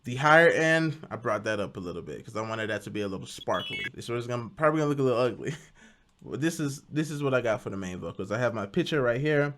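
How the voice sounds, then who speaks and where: A young man talking casually through his home music production process, relaxed and self-deprecating, often restarting words. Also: mid-range voice, fast talker. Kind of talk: casual tutorial talk.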